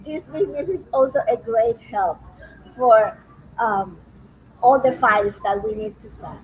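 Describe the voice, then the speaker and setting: A woman with a high-pitched voice and a Cuban Spanish accent talks casually as a guest on a livestream. Spanish is her first language. There is faint restaurant noise behind her. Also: unhurried, low-quality recording. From Cuba. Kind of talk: casual chat.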